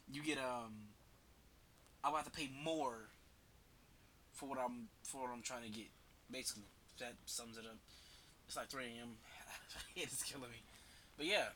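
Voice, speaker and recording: A man's voice: medium pitch, sharp tone, slight background noise. A man with a head cold, talking casually and rambling as a podcast host, slangy and swearing freely. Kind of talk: casual solo commentary.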